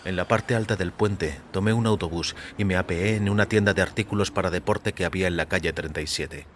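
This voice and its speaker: Low-voiced man narrating a sombre story. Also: steady tone, a little fast, a little hiss or hum. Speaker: audio fiction narrator. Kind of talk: scripted first-person story narration. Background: faint music.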